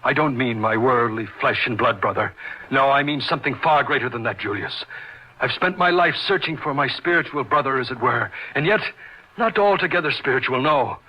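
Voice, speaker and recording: An actor playing a brilliant, lonely and superior young surgeon in old-time radio horror style, speaking gravely and deliberately. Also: male voice, medium pitch. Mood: confiding, intense. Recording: muffled radio drama with background hiss.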